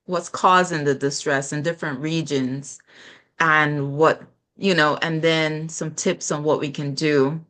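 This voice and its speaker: A woman's voice: low voice, a bit bright. A middle-aged woman hosting an online panel show, speaking reflectively about health in spiritual terms. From Caribbean. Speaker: host.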